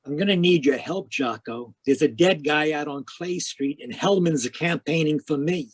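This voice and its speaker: A man playing a hard-boiled, wisecracking private investigator in a 1940s-style radio noir drama, deadpan, clipped and sardonic. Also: a little high, normal speed, slightly rough, no echo. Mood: urgent, impatient. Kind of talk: 1940s radio noir acting with first-person narration. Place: live remote video-call performance.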